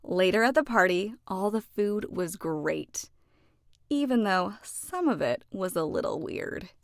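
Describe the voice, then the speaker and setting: A woman narrating a children's storybook expressively, with deliberate phrasing and dramatic emphasis. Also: medium pitch, expressive, no room sound, clean studio sound. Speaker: children's book read-aloud narrator.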